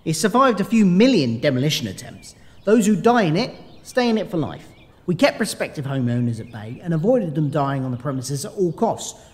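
Man as a lively, wry phantom dad. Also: fairly high pitch, a little fast, in a large hall. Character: grumbling, wry phantom father. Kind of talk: sci-fi audio drama acting.

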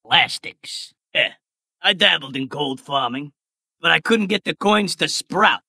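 A man voicing a brash, greedy, wisecracking male goblin in a video game, gravelly and sharp, like a fast-talking wise guy. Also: on the high side, expressive, no room sound, studio quality, full character voice. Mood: cocky, wisecracking. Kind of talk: video game character voice lines.

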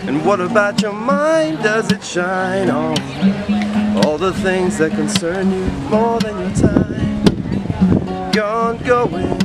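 Young guy singing slow with an acoustic guitar, outdoors, guitar loud in the mix. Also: high-pitched. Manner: sings own song over strummed guitar. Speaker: singer auditioning. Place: outdoors in a leafy garden.

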